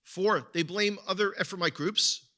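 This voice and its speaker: A man's voice: high voice, moderate pace, sharp tone. A man with an Israeli accent giving a conversational talk as a guest speaker at a congregation, often repeating words as he searches for them. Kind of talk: sermon-style talk.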